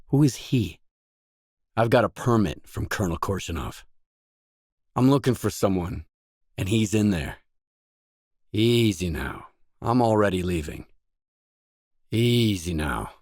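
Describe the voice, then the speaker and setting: An actor voicing a gruff, guarded lone stalker for hire in a video game, speaking in terse, dry, self-assured lines. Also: male voice, on the low side, a little mellow, dry recording. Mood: urgent, pressing, then calm, firm.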